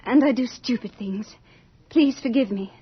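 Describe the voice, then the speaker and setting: An actress playing Death as a lovely, shy young girl in an old-time radio drama, sweetly polite and breathy. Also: a little high, normal speed. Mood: gentle, eerie. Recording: dull old radio recording, faint music.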